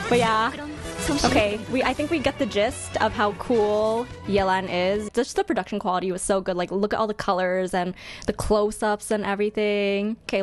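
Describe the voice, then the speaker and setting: A woman giving casual live-stream reaction commentary in an expressive, gushing, slangy fan style. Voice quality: high-pitched voice. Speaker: streamer hosting a ranking of game character trailers.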